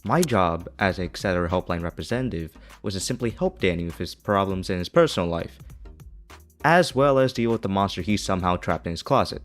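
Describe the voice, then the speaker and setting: Low-voiced man narrating a video essay. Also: animated, brisk, faint music behind. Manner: opinionated, critical.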